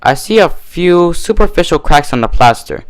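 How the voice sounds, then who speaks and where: A man voicing a matter-of-fact pony building inspector in an officious, measured manner. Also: a little high, animated, dry recording, voice pushed up, natural voice. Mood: dry, officious.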